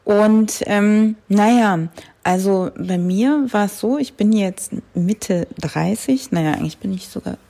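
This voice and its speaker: Slow, gravelly-voiced woman host. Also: mid-range voice. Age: 30s. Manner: frequent fillers, relaxed and chatty. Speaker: podcast host. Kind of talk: casual solo podcast talk.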